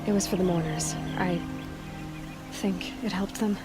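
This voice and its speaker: An actress playing a direct, wry young huntress heroine in a video game, speaking matter-of-factly. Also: female voice, fairly low pitch, steady tone, measured pace, loud music behind the voice, close to an everyday voice.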